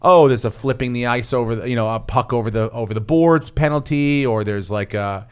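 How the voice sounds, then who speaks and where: A man's voice: mid-range voice, fairly quick, clean tone. Rambling teasing male podcast host. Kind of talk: casual sports banter.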